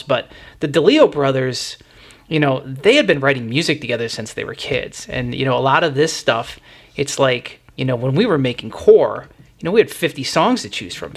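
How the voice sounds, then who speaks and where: A man's voice: medium pitch, fairly bright tone. A middle-aged man hosting a music podcast, talking casually and explaining things in a reflective way.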